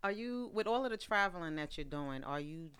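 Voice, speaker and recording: A woman's voice: fairly low pitch, lively intonation, brisk, a bit bright, clear, resonant tone, dry recording. A woman hosting a casual interview, asking blunt, playful questions and teasing her guest. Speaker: interview host.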